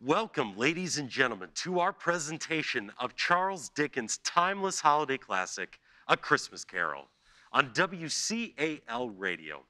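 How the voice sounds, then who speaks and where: A man narrating a radio play as announcer and storyteller, with dramatic, deliberate emphasis. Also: medium pitch, very animated, pushed and bright. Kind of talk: theatrical scripted storytelling.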